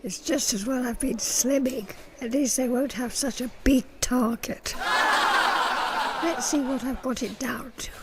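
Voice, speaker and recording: A woman's voice: high-pitched, fairly even intonation, measured pace, heavy background noise. A woman playing a pompous, easily affronted middle-aged saleswoman in a radio sitcom, mock-refined with coarse slips. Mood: gossipy. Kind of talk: radio play sitcom comedy acting.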